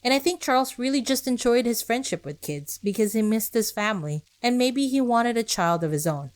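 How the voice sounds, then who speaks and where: A woman's voice: fairly high pitch, animated, fairly quick. A woman podcast host reading scripted narration with personal asides, speaking conversationally and directly to her listeners.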